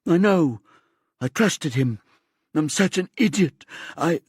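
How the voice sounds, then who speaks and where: Man playing a desperate, shrill teenage girl. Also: expressive, takes it slowly, darkish tone, no room sound, studio quality, full character voice. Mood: distraught. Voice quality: hoarse. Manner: breathless, swearing outbursts. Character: desperate, hostile teenage girl suspect, screaming and panicking.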